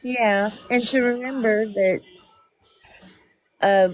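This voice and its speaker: A woman comforting a grieving friend on a live stream, soft and reassuring. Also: a little high, steady tone, slow pace, poor audio. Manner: gentle, soothing, reassuring. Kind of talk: casual comforting chat.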